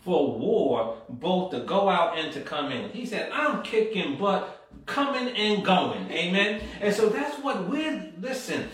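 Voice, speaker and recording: A man's voice: sits high, big pitch swings, measured pace, a bit bright. A man preaching a sermon, reading scripture aloud and building to fervent exhortation with frequent amens and call-and-response, recorded in a church hall. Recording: very echoey room from far off.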